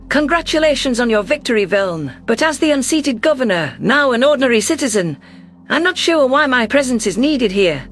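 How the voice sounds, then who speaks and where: A performer voicing an earnest, wary deposed alien governor who narrates in a measured, formal, reflective way. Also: high-pitched, very animated, a bit bright, slight background noise. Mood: guarded, reluctant. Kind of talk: scripted first-person audio story narration.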